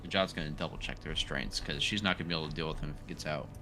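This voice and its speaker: A man's voice: rumbling low, racing, background noise. A man talking casually as a player in a tabletop roleplaying game. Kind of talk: casual chat.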